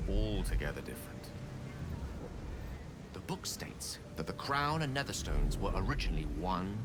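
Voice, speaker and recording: An actor playing an ambitious, earnest wizard scholar in a video game, eloquent, persuasive and grandiose. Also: male voice, lowish voice, heavy background noise. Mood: musing.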